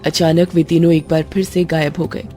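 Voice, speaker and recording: A woman's voice: low voice, flat delivery, brisk, dark tone. A woman reading a scripted story as a narrator, in a storytelling style. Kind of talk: scripted narration of a Hindi moral horror story. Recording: music under the voice.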